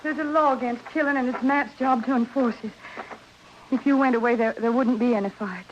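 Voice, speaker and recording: Frontier wife, played by an actress: anxious, earnest, imploring; radio western with dull vintage sound. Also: female voice, fairly high pitch, fairly even intonation, brisk, close to an everyday voice. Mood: firm pleading. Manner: earnest, urgent, pleading. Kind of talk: 1950s radio western acting. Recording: dull, hissy old radio recording.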